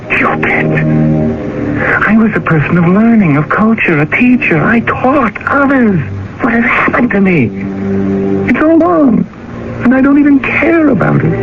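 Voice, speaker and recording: An actor playing a tormented, exhausted young former student in a radio drama, speaking in a halting, anguished way. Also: male voice, on the high side, vocal fry, exaggerated voice. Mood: exhausted, desolate, then agitated. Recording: muffled, very noisy vintage radio recording.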